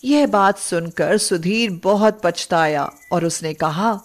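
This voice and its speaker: A woman's voice: on the low side, takes it slowly. A woman reading scripted narration for moral bedtime stories as the storyteller.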